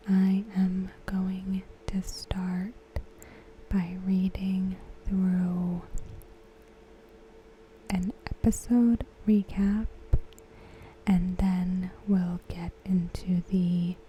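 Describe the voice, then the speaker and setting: A woman reads aloud softly in an ASMR style, in a hushed, breathy whisper with short phrases and long pauses. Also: medium pitch, slight background noise, low-quality recording. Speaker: ASMR host.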